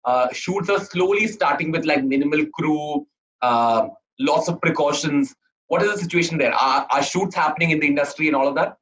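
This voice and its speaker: A man from India hosting a casual interview, eager and chatty, quick to affirm and pile on follow-up questions. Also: a little high, fairly bright tone. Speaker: interview host. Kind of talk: casual interview over video call. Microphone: video call. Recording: dull lo-fi video call audio.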